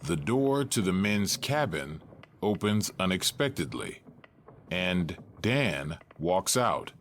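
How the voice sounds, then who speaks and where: A man's voice: low voice, big pitch swings, slow, a bit bright. A man narrating scripted stage directions for an audio drama, with faint noise behind him.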